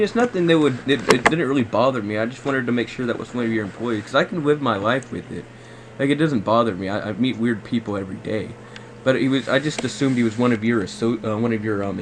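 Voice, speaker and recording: A man making a polite phone complaint, hesitant and repetitive, circling back over his words. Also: medium pitch. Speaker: prank caller posing as a customer. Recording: faint background noise on recorded call.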